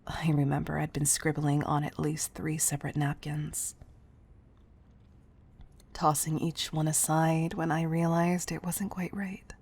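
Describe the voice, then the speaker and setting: Woman acting low, breathy, commanding female boss. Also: little pitch movement, heavily acted. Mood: wistful, tender. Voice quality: breathy, soft. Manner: intimate, deliberate, often dropping into breathy near-whisper. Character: commanding, tough-loving female CEO, fond underneath. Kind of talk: scripted roleplay audio addressed to the listener.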